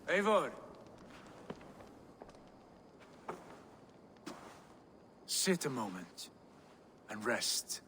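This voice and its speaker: A man's voice: medium pitch, big pitch swings, takes it slowly, bright, forceful tone, slight background noise, heavily acted. A man playing a weary, proud Viking warrior in a dramatic video game scene. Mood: weary, fond.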